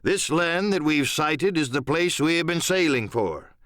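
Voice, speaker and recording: A man's voice: on the high side, animated, measured pace, a bit bright, no room sound, clean studio sound, voice pushed up. A man playing a stern, plain-spoken middle-aged ship's captain in an audiobook drama, firm and clipped in manner. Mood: hearty, commanding. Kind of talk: audiobook radio-play character acting.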